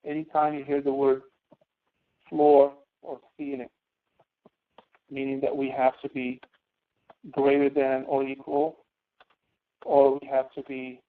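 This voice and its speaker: A man teaching a spreadsheet lesson online, explaining informally and a little haltingly. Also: medium pitch. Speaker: instructor. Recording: tinny phone-like audio.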